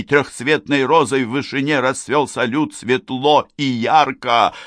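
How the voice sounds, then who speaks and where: A man with a fairly low voice reads poetry very slowly and solemnly, lifting and dropping his pitch and loudness in broad declamatory sweeps. The sound is dry and close, with no room echo at all. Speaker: poetry reader.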